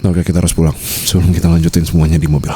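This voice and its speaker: A man's voice: low voice, level tone, racing, mellow, a little hiss or hum, close to an everyday voice. A man with an Indonesian accent playing a doting, possessive mafia boss husband in an intimate, teasing ASMR roleplay. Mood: possessive, coaxing. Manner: teasing, coaxing, murmured endearments with English phrases mixed in.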